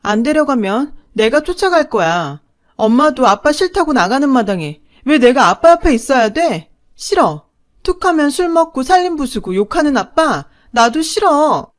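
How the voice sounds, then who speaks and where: A woman's voice: fairly high pitch, big pitch swings, a little slow, few pauses, darkish tone. A woman voicing her younger self as a girl, protesting and pleading with her family in a spoken story. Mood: pleading, upset.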